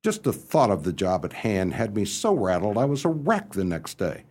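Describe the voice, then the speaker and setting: A man playing an excitable young upper-class English gentleman who narrates a radio comedy in breezy, exclamatory slang. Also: fairly low pitch, normal speed, music in the background. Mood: breezy narrating. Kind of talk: first-person radio comedy narration and dialogue.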